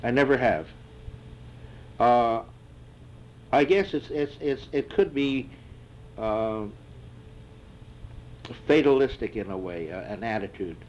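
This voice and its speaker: An older man in an oral history interview, answering reflectively and haltingly with many fillers and restarts. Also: medium pitch, animated, darkish tone. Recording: dull lo-fi tape with faint hiss.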